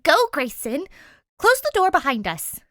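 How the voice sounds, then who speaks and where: A woman's voice: high-pitched, huge pitch swings, a little slow, a bit bright, no echo, clean studio sound, pitched above the performer's own voice, exaggerated voice. A woman voicing a skittish, stubborn young girl in an audiobook, indignant and argumentative. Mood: panicked urgency. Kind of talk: audiobook character voice, fan fiction reading.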